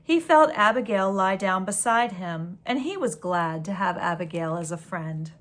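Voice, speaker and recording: Expressive woman reading a storybook. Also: medium pitch, moderate pace. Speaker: teacher reading a book aloud. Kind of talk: children's storybook read-aloud.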